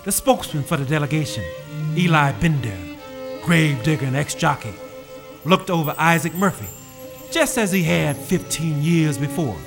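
A man narrating a story in a measured, solemn, evocative way. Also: on the high side. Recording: narration over clear music. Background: music and rain.

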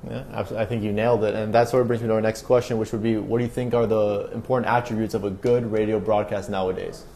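A man's voice: lowish voice, flat delivery, fast talker, dark tone. A man hosting a sports podcast, introducing and interviewing a guest.

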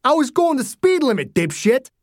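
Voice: medium pitch, sing-song, fairly quick, dry recording, studio quality, voice pushed up, lightly acted. A narrator voicing a belligerent, foul-mouthed man past middle age who throws insults in an audiobook story. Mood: screaming anger.

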